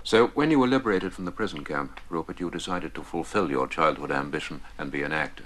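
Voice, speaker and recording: A man's voice: extremely low pitch, quick pace, a bit bright, slight background noise. A man hosting a radio interview, guiding his guest with short, polite questions, on an old archive recording. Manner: brief, polite prompting questions.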